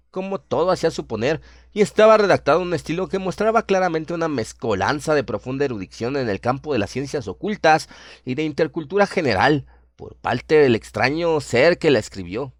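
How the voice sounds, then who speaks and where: A man narrating a scripted horror radio drama in a measured, literary, dramatic storytelling manner. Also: mid-range voice, no echo, clean studio sound.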